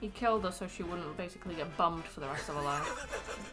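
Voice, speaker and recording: A woman's voice: lowish voice, brisk, fairly bright tone, faint music behind. A woman co-hosting a casual chat, throwing in blunt, sweary quips. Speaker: co-host. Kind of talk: casual banter, reading out fan comments.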